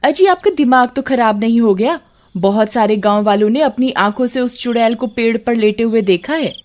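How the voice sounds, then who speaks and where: A woman voicing a frightened, nagging village wife in an animated horror story, anxious and pleading. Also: a little high, moderate pace, close to an everyday voice. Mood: worried, scolding.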